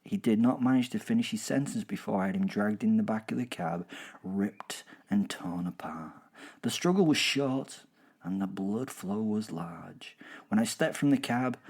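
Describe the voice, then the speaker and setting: Man playing a husky, chatty werewolf killer. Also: lowish voice, moderate pace, darkish tone. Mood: menacing, relishing. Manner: chatty, digressive, self-interrupting, darkly jokey. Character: gleeful, boastful male werewolf killer recounting his hunt. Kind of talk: first-person horror comedy audio drama narration.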